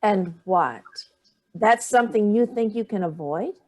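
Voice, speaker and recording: A woman's voice: mid-range voice, animated, a little slow, a little mellow. A middle-aged woman playing a sardonic, wounded older mother in a staged play reading, with clipped, cutting delivery. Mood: tense, probing.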